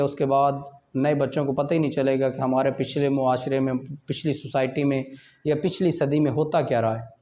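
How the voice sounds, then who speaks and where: A man's voice: a little high, mellow. A man with a Pakistani accent teaching law in a classroom lecture style, explaining point by point and mixing in English terms. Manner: explanatory, checks in with short questions, switches between English and Urdu. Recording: dull, lo-fi audio.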